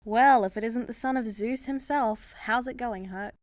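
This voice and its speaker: A dutiful female superhero played by a woman in a comedy radio play. She sounds composed and wry, a little high in pitch, with no room echo. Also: moderate pace, clean studio sound, lightly acted. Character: sensible, dutiful female superhero with dry wit.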